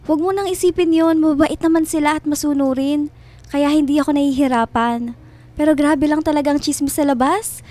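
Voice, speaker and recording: An actress playing a chirpy, ingratiating and subtly possessive family friend in a radio drama, with a breezy, over-sweet manner. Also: female voice, high-pitched, steady tone, a little fast, warm tone, close to an everyday voice. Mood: sweet, reassuring.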